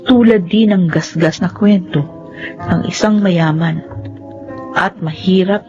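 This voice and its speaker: A woman's voice: on the low side, slow pace, warm tone, muffled recording, soft background music. An actress playing a respectful young woman in a Filipino radio drama, reflective and polite, with a Filipino accent. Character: gentle, respectful young woman from poor family. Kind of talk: radio drama acting and first-person narration.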